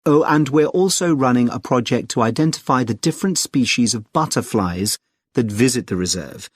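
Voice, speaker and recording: A British man as a friendly conservation group secretary, recorded close and dry. His pitch is middling and he explains things politely and clearly in a measured, scripted everyday conversation. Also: animated, dry recording. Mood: helpful, informative. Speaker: actor in a listening test dialogue.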